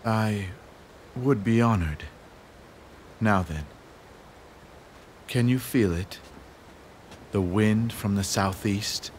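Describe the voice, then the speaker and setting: An actor playing a calm, wise gentleman strategist of ancient China in a video game, formal and composed. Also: lowish voice, very slow, long pauses, a little hiss or hum. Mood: quiet, tender. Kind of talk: dubbed video game cutscene acting.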